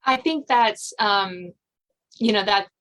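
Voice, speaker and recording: A woman podcast host chats casually with a guest at a medium pitch. Her talk is informal and halting, and she often reshapes a sentence partway through as she works out what she wants to ask or say. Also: fairly bright tone. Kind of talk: casual interview chat.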